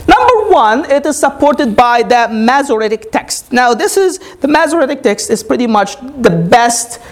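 A woman's voice: fairly high pitch, expressive, normal speed. A woman whose first language is Arabic, preaching a teaching sermon, often asking her congregation "Amen?" and explaining step by step. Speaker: pastor.

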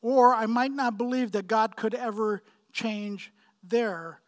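A man in his fifties from Pakistan preaching a sermon, with emphatic, deliberate phrasing and frequent repetition. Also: high voice. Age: 50s. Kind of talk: sermon, expository preaching with personal anecdotes.

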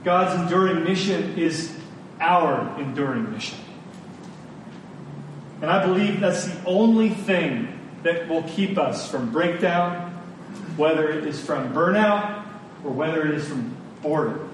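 A man's voice: expressive, unhurried. A man preaching a sermon, emphatic and informal, repeating phrases for stress. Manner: informal, colloquial asides to listeners. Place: large room, speaking to a congregation. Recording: echoey room, distant mic, faint rumbling noise.

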